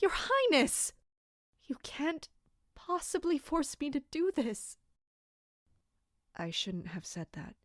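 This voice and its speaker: A woman's voice: sits high, very animated, takes it slowly, long pauses, no echo, clean studio sound, heavily acted. A woman playing an anguished, dutiful and bitter royal captain in an audio drama, brooding and emotionally intense. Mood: pleading, shaken.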